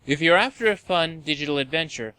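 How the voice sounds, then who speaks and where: Lively, bright-voiced man narrating a countdown. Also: a little high, a little fast, a little hiss or hum. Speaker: host of a video countdown list. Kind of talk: scripted review narration.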